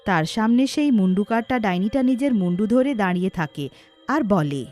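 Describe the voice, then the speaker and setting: A woman's voice: medium pitch, expressive, normal speed, darkish tone, faint music behind. A woman narrating a scripted cartoon story in a dramatic storytelling style.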